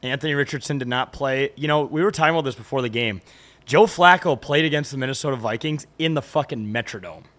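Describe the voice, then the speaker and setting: A man's voice: medium pitch, fast, a bit bright. A man hosting a sports podcast, brash and joking, swearing freely in casual chat. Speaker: podcast host.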